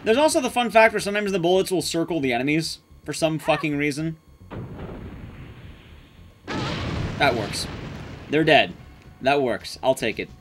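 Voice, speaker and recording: A man's voice: on the high side, expressive, moderate pace, pauses often, slight background noise. A man giving casual live commentary as he plays a game, swearing and muttering asides to himself. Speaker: streamer, speedrunner. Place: indoor room, streaming setup.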